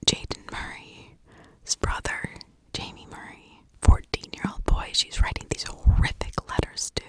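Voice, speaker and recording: A woman whispering in an informal ASMR style, telling stories and giving her own opinions in a breathy, conversational way. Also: a bit bright. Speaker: ASMR true crime storyteller, channel host.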